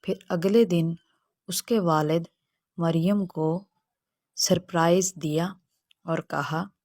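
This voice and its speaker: A woman narrates a scripted children's tale as the storyteller. Her pitch sits a little low for a woman. The recording is dry, with no audible room. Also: fairly even intonation, takes it slowly, darkish tone, no echo.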